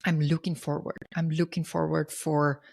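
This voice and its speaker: A woman's voice: deep voice, level tone, normal speed, gravelly, a little mellow. A woman in her thirties hosting a podcast, talking through her thoughts in an earnest, emphatic way and repeating phrases for emphasis. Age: 30s. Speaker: podcast host. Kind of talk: casual reflective monologue.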